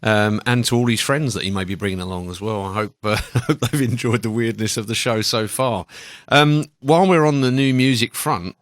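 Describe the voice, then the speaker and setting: Low-voiced male radio host, rambling and conversational. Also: a little fast, hardly any pauses, no room sound, studio quality. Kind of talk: casual radio chat introducing new music. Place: radio studio. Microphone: close studio microphone.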